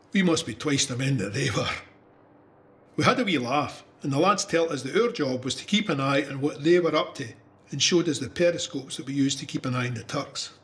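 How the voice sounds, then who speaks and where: Actor as Scottish soldier telling his war story, higher-voiced. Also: lightly acted. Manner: conversational storytelling. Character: young Scottish soldier recounting trench warfare, candid and wry. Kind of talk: first-person audio drama narration.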